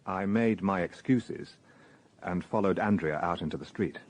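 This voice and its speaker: An actor playing a measured, inquisitive police detective who narrates his case in a radio crime drama. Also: low voice. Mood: reflective.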